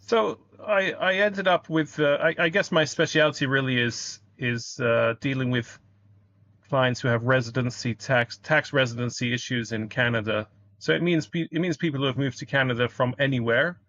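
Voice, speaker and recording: A man's voice: mid-range voice, normal speed, a bit bright, no room sound. A middle-aged British man, an accountant, answering interview questions over a remote call in a polite, explanatory way, often restarting his sentences. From United Kingdom. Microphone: remote call microphone. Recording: dull, dry remote call audio.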